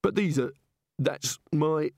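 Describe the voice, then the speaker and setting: A man's voice: on the high side, slow pace, gravelly, a little mellow, dry recording, clean studio sound, pitched above the performer's own voice, exaggerated voice. A man voicing a baffled, exasperated fantasy adventurer in an audiobook, questioning everything incredulously. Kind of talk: audiobook character voice.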